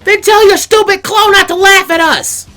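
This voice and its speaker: A man voicing a feisty, competitive teenage ninja girl in an anime story, with sharp, indignant retorts. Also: extremely high pitch, steady tone, moderate pace, faint music behind, voice pushed up.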